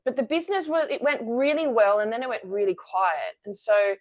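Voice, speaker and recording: A woman's voice: a little high, lively intonation, a little fast. A woman, a guest telling her story candidly in an interview. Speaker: guest, energy-work founder. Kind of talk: conversational interview.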